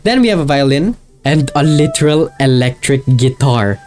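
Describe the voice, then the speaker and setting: A man's voice: mid-range voice, expressive, normal speed, darkish tone. A man narrating a video essay in a casual, enthusiastic and informal way. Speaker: video creator. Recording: music behind the voice. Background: music with guitar.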